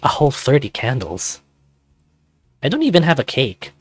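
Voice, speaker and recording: A man's voice: mid-range voice, huge pitch swings, moderate pace, breathy edge, no echo, voice pushed up. A man voicing a sarcastic, incredulous teenage guy, the hero of a visual novel, with teasing, questioning delivery. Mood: teasing, indignant. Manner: dry, teasing, exclamatory questions.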